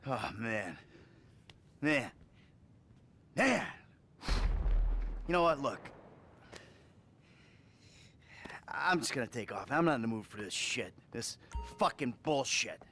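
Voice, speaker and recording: A man's voice: mid-range voice, very animated, slow pace, pauses often, pushed and bright, a little hiss or hum, heavily acted. An actor playing a troubled middle-aged American criminal in a video game, rambling and profane as he confides in his therapist. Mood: weary, irritable. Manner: profane, rambling, agitated, sighing. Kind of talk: acted video game cutscene dialogue.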